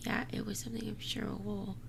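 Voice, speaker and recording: A woman's voice: medium pitch, flat delivery, fairly quick, vocal fry. A woman hosting a casual live chat show, with a giggly, slangy way of talking. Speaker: live panel show host. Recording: faint background noise.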